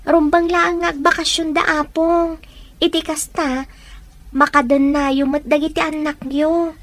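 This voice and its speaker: A woman acts a humble, respectful young woman in a family audio drama. Her voice is extremely high and polite. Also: little pitch movement, measured pace, no room sound. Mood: respectful, hopeful. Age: young adult. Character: polite, humble young granddaughter and aspiring dress designer. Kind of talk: Ilocano audio drama dialogue.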